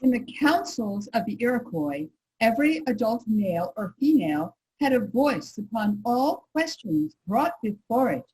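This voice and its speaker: A woman's voice: fairly high pitch, big pitch swings, moderate pace, darkish tone, low-quality recording. A woman playing a resolute nineteenth-century suffragist in a staged reading, emphatic and articulate. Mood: resolute, expressive. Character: discontented, resolute 19th-century suffragist wife. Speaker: reader in a reader's theater.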